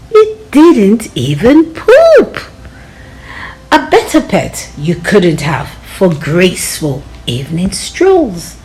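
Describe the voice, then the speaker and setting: A woman reading a children's storybook aloud in an expressive, dramatic way, drawing out words for young listeners. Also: medium pitch, rough recording.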